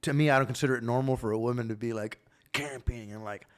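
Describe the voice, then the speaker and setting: A man's voice: mid-range voice, fairly even intonation, fast talker, clean tone. A man, hosting a casual podcast chat in a laid-back way, swearing freely and tagging his thoughts with "right?". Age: 30s. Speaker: podcast host.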